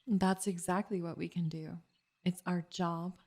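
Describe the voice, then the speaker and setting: A woman's voice: lowish voice, steady tone, measured pace, darkish tone. A woman playing a sceptical, grumpy young elf in an ASMR roleplay, speaking in a dry, reluctant, easily flustered manner. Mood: sceptical, dismissive. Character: sceptical, grumpy, secretly intrigued young female elf forest warden.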